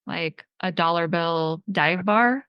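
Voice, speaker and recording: A woman from Sweden hosts a casual podcast interview. Her voice sits a little low, and she asks loose, chatty questions. The sound is dry and close. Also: slow pace, clear, resonant tone, no echo, highs cut off. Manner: relaxed, chatty questions with filler "like". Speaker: podcast host.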